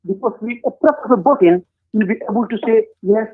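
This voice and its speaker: A man, speaking as a panel guest in a political discussion, earnest and deliberate with frequent hesitations. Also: high-pitched, breathy edge. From Gambia. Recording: tinny phone line.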